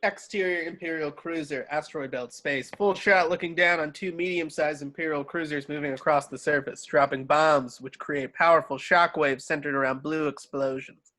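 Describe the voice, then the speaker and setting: A man's voice: a little high, fairly even intonation, normal speed, sharp tone. A man reading stage directions as the narrator of an amateur screenplay table read.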